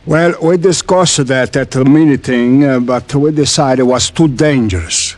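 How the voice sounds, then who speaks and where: Actor as a worried Italian immigrant butcher. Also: male voice, medium pitch, a little mellow, natural voice. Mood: worried, hesitant. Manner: halting, broken English with Italian words. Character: worried, good-humoured immigrant Italian butcher, stout little man. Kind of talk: 1940s-50s radio drama acting. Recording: old-time radio recording.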